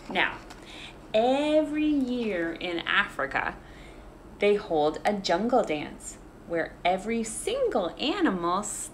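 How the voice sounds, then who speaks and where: Animated woman reading a picture book. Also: medium pitch, a little hiss or hum. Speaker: teacher reading aloud. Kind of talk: expressive children's picture-book narration. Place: small room at home. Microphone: phone or tablet at arm's length.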